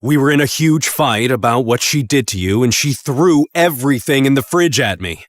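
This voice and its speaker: Man as a lively, protective middle-aged dad. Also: medium pitch, moderate pace, dry recording, studio quality, natural voice. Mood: dejected. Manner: earnest, expressive. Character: kind, protective middle-aged father and neighbour. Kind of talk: animated story dialogue.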